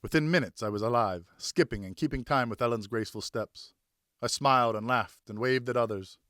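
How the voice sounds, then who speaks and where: A man's voice: mid-range voice, brisk, a bit bright, no room sound, studio quality. A man narrating a first-person story as an audiobook reader, in a scripted, dramatic storytelling style.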